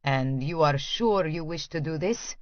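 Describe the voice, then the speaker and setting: A terse and intense Russian man from an audiobook as a woman narrator acts him out. The voice drops low and moves slowly and gravely, recorded very dry with a slightly dull tone. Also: expressive, no room sound. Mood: grave, guarded. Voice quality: deepened voice.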